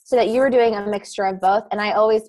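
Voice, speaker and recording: A woman guest giving advice on a video call, animated and acting out little quotes. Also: medium pitch. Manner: quick, animated, acts out quotes. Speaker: guest, podcaster and blogger.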